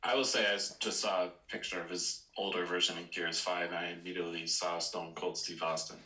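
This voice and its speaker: Deep-voiced man with roomy echo. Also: level tone, fairly quick, sharp tone. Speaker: podcast co-host. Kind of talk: casual podcast chat.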